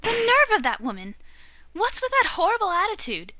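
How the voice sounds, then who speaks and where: Woman playing a bright, high-voiced teenage girl. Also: moderate pace, no room sound, exaggerated voice. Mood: indignant. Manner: expressive, indignant. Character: spirited, outspoken teenage girl, protective of friends. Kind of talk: video game fandub acting.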